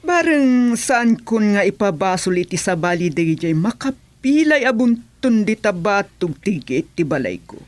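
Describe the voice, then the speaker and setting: Radio comedy role: coquettish, affected Ilocano homeowner lady, mid-pitched, highly animated intonation. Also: unhurried, hardly any pauses, no echo. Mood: teasing. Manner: coy, affected, peppered with English phrases. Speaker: actress in a radio comedy serial. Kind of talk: Filipino radio comedy drama acting.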